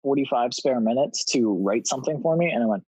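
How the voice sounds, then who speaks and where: A man's voice: mid-range voice, fast, darkish tone, breathy edge, dry recording, low-quality recording. A twenty-year-old man telling an anecdote in a casual, self-deprecating way as a podcast guest. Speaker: podcast guest, audio drama writer.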